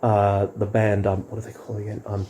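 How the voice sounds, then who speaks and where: A man's voice: lowish voice, monotone, a little fast, warm tone. A man co-hosting a casual chat about music and films, often hesitant and searching for words. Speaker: co-host reviewing music and films.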